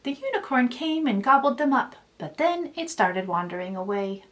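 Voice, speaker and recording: A woman reading a children's story aloud in an expressive storytelling style. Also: on the high side, normal speed. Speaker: children's author reading own book aloud.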